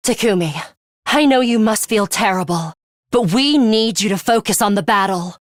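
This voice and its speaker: A woman's voice: mid-range voice, normal speed, no echo, studio quality, natural voice. A woman voicing a cold, ruthless young female fighter in an English video game, composed, blunt and commanding, with a bloodthirsty streak. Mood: stern.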